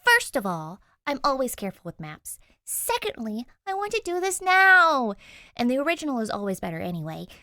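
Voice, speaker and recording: Woman voicing a loud, feisty teenage girl. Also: high voice, wildly swooping pitch, moderate pace, dry recording, studio quality, voice pushed up, heavily acted. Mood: pushy, insistent. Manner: defiant, snappy, emphatic. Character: feisty, stubborn teenage ghost-clone girl.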